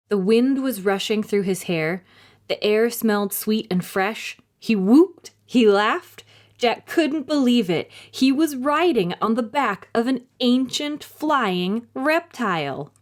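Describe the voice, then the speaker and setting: Female storytime reader, highly varied intonation, dramatic and clearly phrased delivery for young listeners. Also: animated, slow pace. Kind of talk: expressive scripted storybook narration for children.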